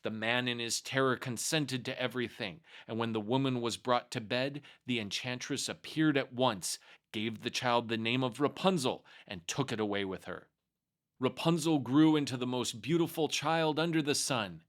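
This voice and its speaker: A man narrating a fairy tale aloud as a storyteller, expressive and measured in his delivery. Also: mid-range voice.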